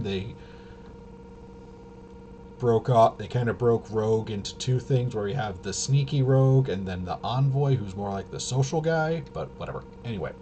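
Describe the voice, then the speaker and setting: A man hosting a casual, unscripted tabletop game walkthrough, halting and rambling as he thinks aloud. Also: medium pitch, dark tone, a little hiss or hum. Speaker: host of a tabletop RPG channel.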